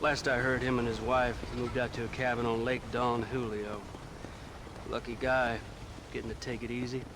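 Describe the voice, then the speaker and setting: A man's voice: medium pitch, level tone, normal speed, natural voice. Man playing a jaded American Western lawman. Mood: wry, jaded. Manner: folksy, wry, grumbling. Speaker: video game character. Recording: clearly noisy outdoor ambience.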